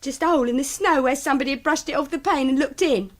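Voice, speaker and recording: Radio drama: jittery servant woman played by an actress, high voice, colloquial and put-upon. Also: fast, close to an everyday voice. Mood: frightened, indignant. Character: jittery, indignant female kitchen servant.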